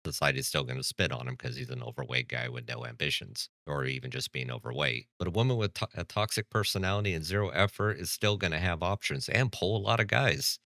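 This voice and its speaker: A man's voice: very deep voice, quick pace, hardly any pauses, sharp tone, no echo, clean studio sound. A man hosting a reaction commentary, blunt and lecturing with a sarcastic edge. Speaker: commentary channel host.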